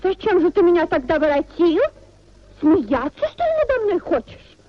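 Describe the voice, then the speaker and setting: An actress playing a petulant, mocking, materialistic young wife in a 1950s Russian radio play, scornful and pouting. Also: female voice, very high-pitched, big pitch swings, moderate pace, lo-fi sound. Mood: sulky, scornful. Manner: scornful, pouting, sing-song jibes.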